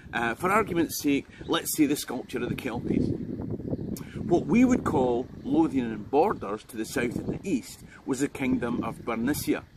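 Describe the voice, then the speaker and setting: A Scottish man and history tour guide, giving an informal, jokey history talk to the viewer. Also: mid-range voice. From Scotland. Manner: jokey and conversational, with asides to the viewer. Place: outdoors on a tidal island. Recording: loud background noise.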